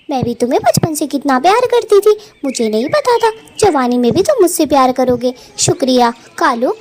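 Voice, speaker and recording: A woman plays a chatty young female bird in a family cartoon. Her voice sits fairly high, and she speaks in an affectionate, teasing, effusive way. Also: slight background noise. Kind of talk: Hindi family cartoon story acting.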